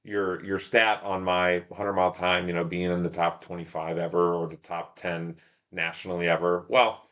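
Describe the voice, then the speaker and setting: A man with a fairly low-pitched voice is a guest in a casual podcast interview. He tells stories in a loose, rambling way, often thinking aloud and talking himself down a little. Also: monotone, moderate pace, fairly bright tone. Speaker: podcast guest, ultramarathon runner and shop owner. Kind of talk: casual interview talk, telling stories about racing.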